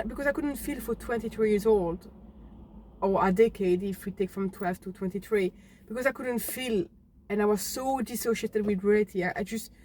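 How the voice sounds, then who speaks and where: A young woman from Belgium, telling her own story unscripted to camera in a halting, earnest way, often restarting words. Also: medium pitch, darkish tone, a little hiss or hum, low-quality recording. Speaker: fitness vlogger.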